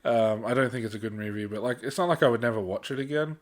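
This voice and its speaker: A man's voice: medium pitch, fast. Young Australian man chatting casually. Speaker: podcast co-host. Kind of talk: casual chat.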